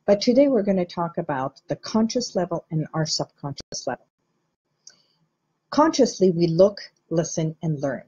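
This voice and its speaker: Middle-aged woman from Iran, lowish warm voice, calm guiding teacher. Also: husky, muffled recording. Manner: slow, measured, guiding explanation. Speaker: hypnotherapist. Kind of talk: teaching talk to camera.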